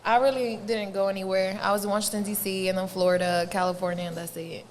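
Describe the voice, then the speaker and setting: A woman's voice: mid-range voice, level tone, fast talker. A young woman talks on a podcast in a mid-range voice and gives brief, dry answers. Her voice echoes in a reverberant room, with a faint hiss of background noise. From Colombia, raised in Spain and New York. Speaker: podcast guest. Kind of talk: casual interview chat.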